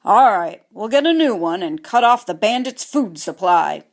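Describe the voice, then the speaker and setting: A woman's voice: medium pitch, animated, moderate pace, lightly acted. A woman voicing a boy's firm, emphatic uncle in a children's storybook. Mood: stern, resolved. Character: stern adult uncle of a boy, firm.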